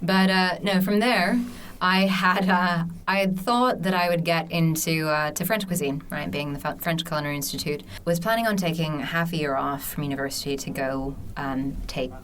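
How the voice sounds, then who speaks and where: A woman's voice: deep voice, normal speed, few pauses, a bit bright. A young woman from Hong Kong, a podcast guest, chatting casually with a dry, self-deprecating humor. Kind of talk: casual interview chat. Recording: faint background noise.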